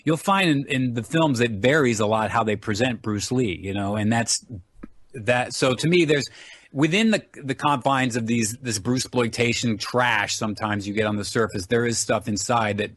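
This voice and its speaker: A man's voice: medium pitch, moderate pace, fairly bright tone, no echo. A middle-aged man chatting casually as an interview guest, talkative and rambling as he explains things. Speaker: interview guest, actor and martial artist.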